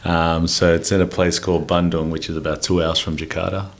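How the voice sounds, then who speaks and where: An Australian man in his forties, a podcast guest, talking in a relaxed, rambling way with plenty of ums. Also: deep voice, a little fast. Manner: laid-back, rambling, lots of ums and mates. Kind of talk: casual interview chat.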